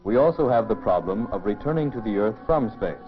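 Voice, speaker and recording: A man giving measured, explanatory scripted narration for a mid-century educational film, on a dull old film soundtrack. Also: on the low side, lively intonation, faint music behind.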